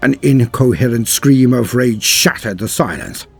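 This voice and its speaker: Guy doing grave dramatic lore narration, bit husky, lowish voice, music under it. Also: expressive. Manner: grave, theatrical storytelling with drawn-out emphasis.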